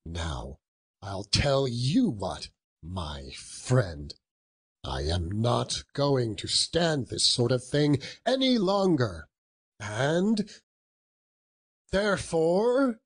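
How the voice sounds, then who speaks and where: Guy doing a growly old storybook miser, drags his words out, husky, voice goes up and down loads. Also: mid-range voice, big pitch swings, full character voice. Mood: mock stern. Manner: growling, emphatic, drawn-out words. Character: old male miser, feigning gruffness, bursting with goodwill.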